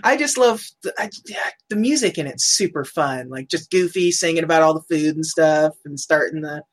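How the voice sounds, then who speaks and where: A woman co-hosting a casual chat about old cartoons, chatty and quick to laugh, looking things up as she talks. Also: low-pitched. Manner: chatty, laughs often, looks things up aloud. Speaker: podcast co-host.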